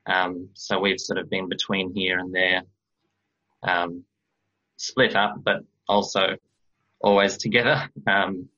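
A young man from Australia speaks in a very low, flat voice, searching for words with many pauses and fillers. His tone is bright and forward, though the recording sounds dull and muffled. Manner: hesitant, many ums and restarts. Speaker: guest. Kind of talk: radio interview.

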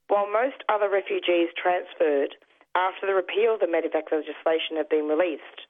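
Slightly hoarse woman radio host. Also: fairly low pitch. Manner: earnest, halting, restarts phrases. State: slightly lost voice. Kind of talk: community radio interview. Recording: tinny phone-like radio audio.

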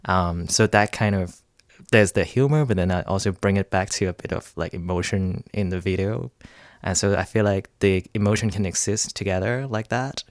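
A low-pitched man with a Hong Kong accent talks as an interview guest. His delivery is conversational and explanatory, like an artist discussing work on radio. The studio sound is dry, with very little room to it. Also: normal speed, no room sound.

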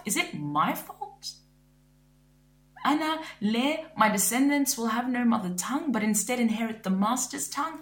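Woman poet, reflective spoken-word delivery, roomy echo. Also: medium pitch. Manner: measured, reflective recitation.

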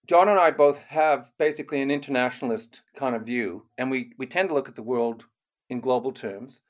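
Older man, from canada, measured, explanatory, interview guest, medium pitch. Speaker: author, criminologist. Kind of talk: interview answers.

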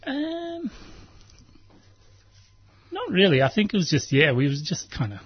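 A man's voice: sits high, huge pitch swings, unhurried, breathy edge, no echo, highs cut off. A man, talking in an easygoing way and laughing often. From Australia. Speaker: guest. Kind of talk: casual conversation.

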